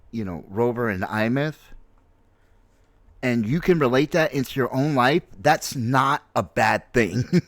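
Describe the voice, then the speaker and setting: A man in his late forties giving casual, unscripted opinion commentary on a game, halting but emphatic, repeating phrases for stress. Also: medium pitch, no room sound. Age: late 40s. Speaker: gaming video host and streamer.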